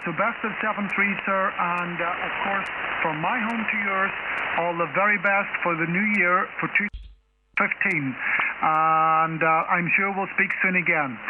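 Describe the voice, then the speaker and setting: A polite, courteous man with a fairly high voice, making a ham radio contact. He is heard over a tinny shortwave link with clear hiss and noise behind him. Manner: polite, courteous with many fillers. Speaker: amateur radio operator.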